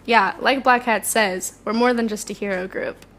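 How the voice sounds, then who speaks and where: A woman's voice: a little high, steady tone, moderate pace, a bit bright, natural voice. A woman playing an eager, nerdy superhero sidekick in an audio drama, polite, chatty and earnest.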